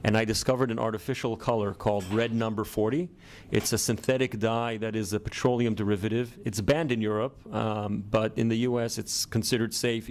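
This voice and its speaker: A middle-aged man with an American accent, a startup founder answering interview questions in a measured way with many ums and uhs. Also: on the low side, creaky. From born in Israel, grew up in the US.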